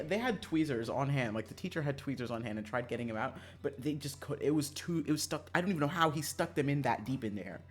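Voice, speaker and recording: Animated man chatting on a livestream. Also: a little high. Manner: animated, sweary, emphatic repetition. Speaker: streamer playing a visual novel. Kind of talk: casual chat with stream viewers.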